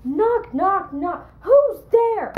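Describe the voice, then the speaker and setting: A woman's voice: extremely high pitch, big pitch swings, very slow, echoey room, phone-quality sound, pitched above the performer's own voice, heavily acted. A woman acting a grumbling, mocking male castle gatekeeper in a declamatory Shakespearean stage monologue. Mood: mocking, exasperated. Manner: declamatory, exclaiming, sardonic.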